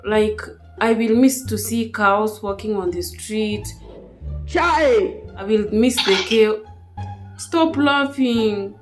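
A woman's voice: medium pitch, slow pace, long pauses, soft background music. A young woman with a Kenyan accent and Swahili as a native tongue, chatting casually and plaintively indoors. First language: Swahili and a mother tongue. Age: young adult. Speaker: vlogger playing a prank on her partner. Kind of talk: casual relationship phone chat. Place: small living room, seated on a sofa indoors.

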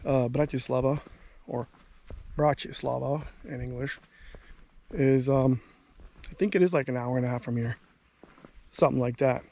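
A man's voice: mid-range voice, steady tone, normal speed, gravelly, long pauses, dark tone. A man in his thirties casually vlogging outdoors while walking along a country road, rambling and thinking aloud. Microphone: handheld camera at arm's length.

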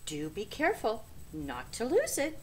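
Woman playing a slow, gentle mother raccoon. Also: a little high, huge pitch swings, a little hiss or hum, voice pushed up. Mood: tender. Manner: soothing, unhurried, tender.

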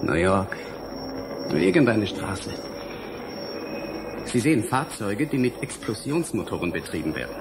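A man's voice: on the low side, sing-song, measured pace, vocal fry, pauses often, background noise, heavily acted. A man playing an earnest, blunt young scientist in a German radio drama, explaining things emphatically and impatiently. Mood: insistent.

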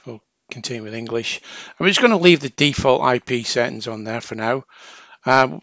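A man's voice: mid-range voice, sharp tone, no echo. A man giving an informal hands-on tutorial as a technical trainer, plain-spoken and going step by step.

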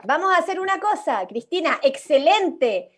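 Workshop director: Chilean woman, high-pitched, animated, encouraging, on a video call. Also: normal speed. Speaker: workshop facilitator directing radio play. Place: indoors at home, video call. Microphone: computer mic on video call.

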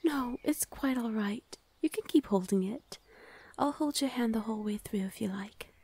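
A woman voicing a gentle, protective fae lady of the forest in an ASMR roleplay, soothing and often whispering. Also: a little high, moderate pace, slightly rough, no echo. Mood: warm, comforting.

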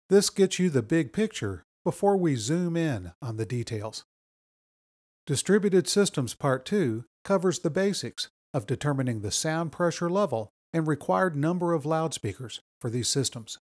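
A man reading scripted instructional narration as a course instructor, explaining in a measured way. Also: fairly high pitch.

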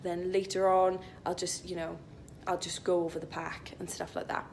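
A young woman vlogs in a chatty, rambling way, with plenty of filler "likes". Her voice sits a little low. It is recorded on a phone at arm's length in a locker room, with faint rumbling noise underneath. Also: little pitch movement, moderate pace, creaky, darkish tone. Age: young adult. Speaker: vlogger, IT consultant. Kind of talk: casual vlog chat to camera. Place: indoor gym locker room.

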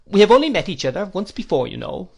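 A man's voice: high-pitched, very animated, fast, vocal fry, husky, pitched above the performer's own voice, full character voice. A man reading aloud, voicing a brusque, commanding elderly aunt with clipped, imperious speech. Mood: brisk.

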